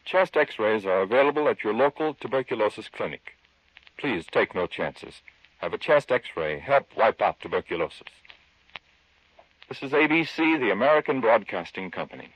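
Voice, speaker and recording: A man announcing in a formal, measured old-time radio style. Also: fairly low pitch, sing-song, fairly bright tone, no echo. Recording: dull, dry old radio recording.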